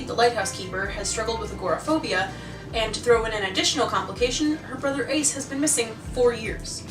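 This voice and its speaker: An American woman hosting a casual, scripted recommendation vlog in a conversational, enthusiastic way. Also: fairly high pitch. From United States. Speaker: video host. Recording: roomy, distant mic, music behind.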